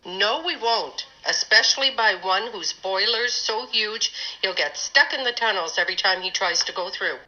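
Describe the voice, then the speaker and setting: A woman's voice: medium pitch, moderate pace, hardly any pauses, bright, forceful tone, a little hiss or hum. A woman voicing a proper, disapproving old female railway coach in a cartoon, prim and reproving. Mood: indignant. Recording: dull lo-fi microphone.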